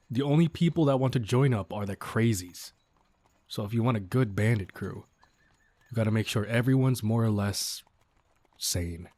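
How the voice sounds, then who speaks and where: Man acting a cocky, low-voiced bandit leader. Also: darkish tone, no echo, close to an everyday voice. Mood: easygoing, amused. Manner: casual, folksy drawl of mock courtesy, wry. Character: cocky, teasing, surprisingly considerate male bandit leader. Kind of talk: audio roleplay acting addressed to the listener.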